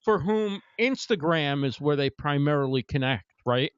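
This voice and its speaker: A man's voice: fairly high pitch, lively intonation, clear, resonant tone, no room sound, muffled recording. A man hosting a casual interview over a video call, affirming his guest and ending points with "right?", speaking into a studio microphone. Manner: affirming, quick to agree, ends points with "right?". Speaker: host. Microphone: studio microphone with headphones.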